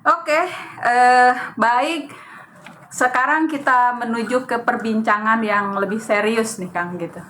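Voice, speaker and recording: A woman hosts an alumni interview at a slow, deliberate pace, leaning on filler sounds between her phrases. Her voice sits slightly high and sounds bright. A faint hiss of noise sits behind her. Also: fairly even intonation. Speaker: host.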